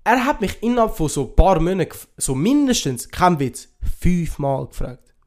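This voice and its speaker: A young man with a Swiss accent talks in a fairly high-pitched male voice. His delivery is casual and conversational, peppered with youthful slang and English expressions, like a podcast co-host chatting with a friend. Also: very animated, normal speed, darkish tone. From Switzerland. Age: young adult. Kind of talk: casual chat.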